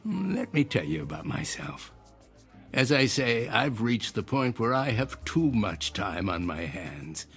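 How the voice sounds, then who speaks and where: An elderly gentleman inventor in a radio play, with a lively, roaming pitch. He muses formally and cryptically, coaxing his listener with measured courtesy, over faint music. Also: vocal fry, slightly rough, exaggerated voice. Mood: wistful, philosophical. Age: older. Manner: measured, formal, musing, persuasive. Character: eccentric old inventor, courteous, cryptic, philosophical stranger. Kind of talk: audio drama acting.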